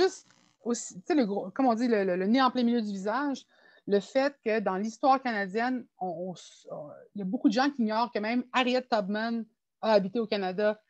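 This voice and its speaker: A woman's voice: mid-range voice, darkish tone, no room sound. A woman, speaking casually on a panel and dotting her talk with fillers. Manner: conversational, many "tu sais" fillers. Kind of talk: panel discussion.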